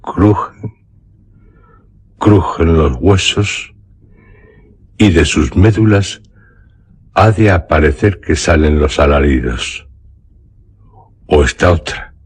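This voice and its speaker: A man narrating a literary tale for radio theatre in a solemn, deliberate manner with dramatic pauses. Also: very deep voice, breathy edge. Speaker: radio storyteller.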